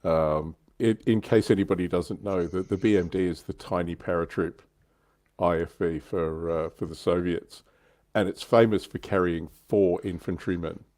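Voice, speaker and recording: A man's voice: low-pitched, steady tone, normal speed, a little mellow, no room sound, studio quality. A man hosting a livestream in casual, wry, self-deprecating chat. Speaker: livestream host. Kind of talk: casual chat about tabletop wargaming.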